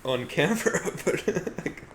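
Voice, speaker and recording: A man's voice: unhurried, a bit bright. Musing young man in noisy podcast audio. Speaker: podcast co-host. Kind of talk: casual opinionated chat.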